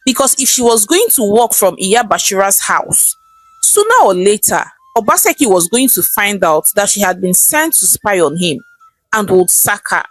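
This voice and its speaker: A woman with a Nigerian accent narrating a scripted folktale in a dramatic, expressive storytelling manner. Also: medium pitch, moderate pace, faint music behind, rough recording.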